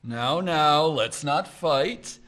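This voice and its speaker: An actor playing a smug, patronizing wealthy suitor. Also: male voice, a little high, very slow, a bit bright. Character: smug condescending rich suitor. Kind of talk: stage-play acting.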